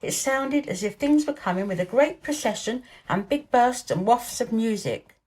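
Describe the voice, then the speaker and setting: A woman's voice: fairly high pitch, expressive, moderate pace, a little mellow, pitched above the performer's own voice, lightly acted. A woman voicing a lordly young invalid boy in a storybook, self-important and precise, with a dreamy streak. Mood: dreamy, excited.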